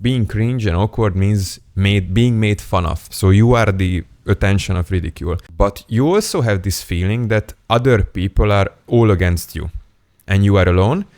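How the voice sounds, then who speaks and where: A young man from Hungary speaks English in a very deep, low register. He talks to the camera in a playful, self-mocking and candid way, like a casual vlogger. The sound is dry and close, with no room echo. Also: darkish tone. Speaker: self-improvement YouTuber. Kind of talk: casual storytelling monologue to camera.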